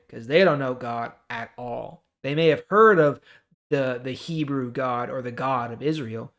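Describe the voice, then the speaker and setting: A man's voice: medium pitch, a bit bright. A man hosting a Bible reading, explaining scripture informally and checking in with the listener.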